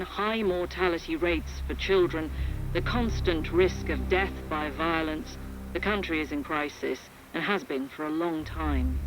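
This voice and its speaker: An actress playing a composed, serious war correspondent, giving a measured and articulate scripted report over a radio link. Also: female voice, sits low. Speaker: field reporter. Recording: noisy radio field report.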